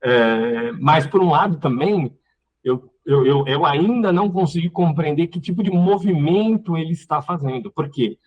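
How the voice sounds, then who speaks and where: Casting: Brazilian male panel guest, rough husky tone, thinks aloud with repeated words, slightly muffled online audio. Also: fairly high pitch, animated. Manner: halting, repeats words while searching for phrasing. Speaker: panel guest, community representative. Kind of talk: unscripted political commentary in an online panel. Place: online live call.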